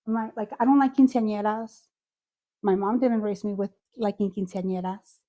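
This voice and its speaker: A woman from Mexico, a podcast guest telling her story in casual, animated chat and slipping in Spanish phrases. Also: medium pitch, normal speed.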